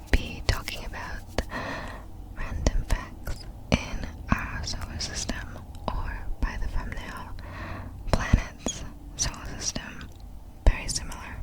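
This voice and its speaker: A woman speaks entirely in a breathy whisper, in the style of an ASMR creator. Her pace is very slow, with soft, measured phrases set apart by small pauses as she reads out facts. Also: low-quality recording.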